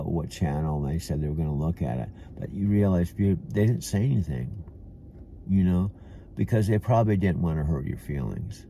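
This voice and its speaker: A man's voice: sits low, warm tone, slight background noise. An older man with a Californian accent, rambling to himself from a parked car and calling himself "dude" with many restarts. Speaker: vlogger. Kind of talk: rambling self-talk monologue.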